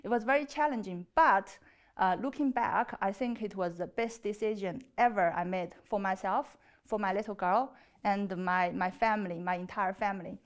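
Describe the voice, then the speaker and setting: A woman in her thirties from China with a Chinese accent, giving an earnest, slightly hesitant personal pitch. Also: normal speed. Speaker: property developer pitching as a contestant. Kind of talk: personal pitch and chat on a TV show.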